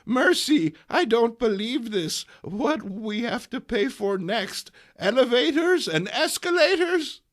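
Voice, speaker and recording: A man's voice: extremely high pitch, animated, slow, hardly any pauses, pitched above the performer's own voice, heavily acted. A man voicing a sweet but indignant and feisty elderly woman in a dramatic comic book reading.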